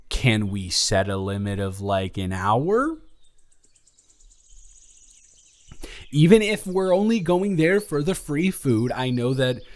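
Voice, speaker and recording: A young man playing an awkward, smitten, introverted college dormmate in a romantic audio roleplay, rambling and stammering in a flustered way. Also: on the low side. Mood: reluctant, anxious. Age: young adult. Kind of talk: intimate romantic audio roleplay, conversational acting.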